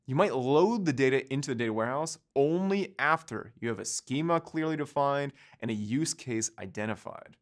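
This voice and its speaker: A man in his thirties presenting a scripted online course lecture, explaining each point while gesturing with both hands in a studio. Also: medium pitch. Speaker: course instructor.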